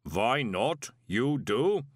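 A man voicing a huge, impassive clay golem in an audiobook, with slow, formal, measured speech and a rumbling voice. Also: sing-song, dry recording, clean studio sound, exaggerated voice. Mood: blunt, calm. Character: impassive male clay golem, huge, literal-minded, sternly moral. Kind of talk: audiobook character voice.

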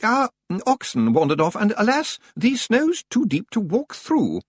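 Man playing a high-pitched, stammering timid librarian. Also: huge pitch swings, a little slow, husky, no room sound, studio quality, full character voice. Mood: puzzled, polite. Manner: stammering, hesitant, politely formal. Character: fussy, timid male senior librarian, hesitant and polite.

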